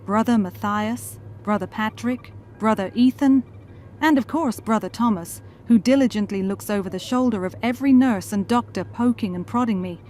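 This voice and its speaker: A woman's voice: fairly high pitch, normal speed, a little mellow, natural voice. A woman playing a thoughtful, gracious scientist and mother in a fan audio drama. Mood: grateful. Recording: faint background noise.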